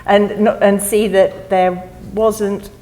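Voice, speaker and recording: A middle-aged woman with a foreign, non-American accent speaks on a theater stage panel. She talks in a chatty, rambling way and laughs at herself often. Her pitch sits in the middle. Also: flat delivery, extremely slow, mellow, low-quality recording. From not from the US. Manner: chatty, laughing, self-deprecating. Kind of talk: panel discussion.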